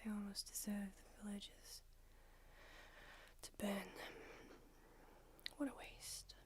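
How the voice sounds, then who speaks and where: A woman whispering in an ASMR roleplay as an ancient, aloof female vampire doctor, unhurried and drily haughty. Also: mid-range voice, flat delivery, close to an everyday voice. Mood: languid haughty. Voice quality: whispered throughout. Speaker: ASMR creator.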